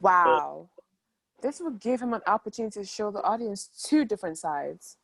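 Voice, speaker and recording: A woman's voice: mid-range voice, expressive, moderate pace, creaky, a bit bright, dry recording. A woman playing an upbeat, pushy film producer in a table read. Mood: eager.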